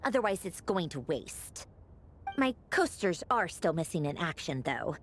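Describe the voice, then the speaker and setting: Haughty noblewoman, lively swooping pitch. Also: a little slow, slight background noise. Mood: scornful. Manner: haughty, clipped, sarcastic. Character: haughty, irritable, sarcastic doll-like young noblewoman. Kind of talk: video game voice acting.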